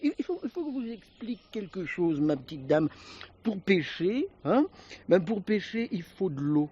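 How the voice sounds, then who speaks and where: Touchy wild drifter, dull recording. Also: male voice, high-pitched, sing-song, normal speed, husky, full character voice. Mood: taunting. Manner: theatrical, speaks of himself in the third person, erupts into shouts. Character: wild, eccentric local male drifter, touchy and menacing. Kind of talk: 1970s French television drama acting. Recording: dull lo-fi old drama recording.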